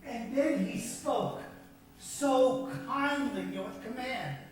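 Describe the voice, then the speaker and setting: A woman's voice: on the high side, lively intonation, slow pace. A woman voicing an earnest young man, blind from birth, who has just gained his sight, speaking in a breathless, tearful and ecstatic way, in an old radio drama. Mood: excited. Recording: echoey, distant old radio recording.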